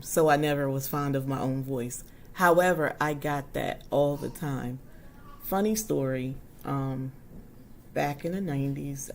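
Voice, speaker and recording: Husky, low-pitched woman, 40s, casual livestream host, chatty and friendly with viewers. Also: a little hiss or hum. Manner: chatty and warm toward her viewers, frequent ums.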